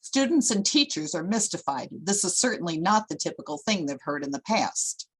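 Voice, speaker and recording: Low-pitched woman reading screenplay directions. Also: sing-song, moderate pace, a bit bright, husky, low-quality recording. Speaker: stage-direction narrator. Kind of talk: scripted narration of a screenplay.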